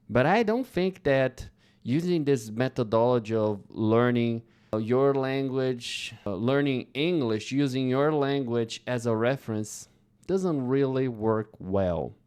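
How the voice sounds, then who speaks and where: Man with Brazilian accent, English teacher, very slow careful delivery, smooth clear voice. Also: mid-range voice, a little mellow. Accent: Brazilian Portuguese. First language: Portuguese. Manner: slow, careful, deliberate phrasing with pauses. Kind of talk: slow English lesson talk.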